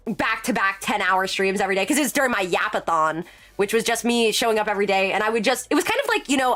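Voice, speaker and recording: A woman's voice: mid-range voice, a bit bright. A young woman in her late twenties talks casually with her stream chat in a chatty, rambling way. She breaks off into laughing asides. Faint music plays under her voice. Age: late 20s. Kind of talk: casual live-stream chat while working.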